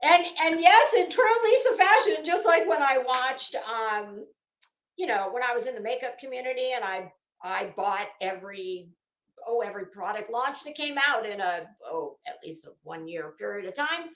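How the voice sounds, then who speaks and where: A woman's voice: fairly high pitch, moderate pace, fairly bright tone, roomy sound, low-quality recording. A woman hosting a casual livestream, chatting to viewers in a rambling, opinionated, emphatic way. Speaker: livestream host.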